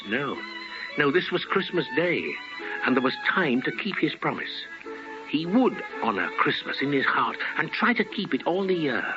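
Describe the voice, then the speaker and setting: A man narrating as a storyteller in a theatrical 1950s radio style, with deliberate dramatic phrasing. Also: a little high. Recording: muffled old radio recording, music behind.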